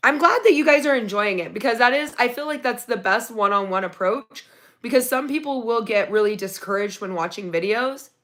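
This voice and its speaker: A woman hosting a casual livestream from her phone, reading viewer comments, her voice going raspy from talking so long. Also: on the high side, fairly quick. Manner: chatty, excited when thanking viewers for gifts. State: losing her voice, getting raspy. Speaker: livestream host.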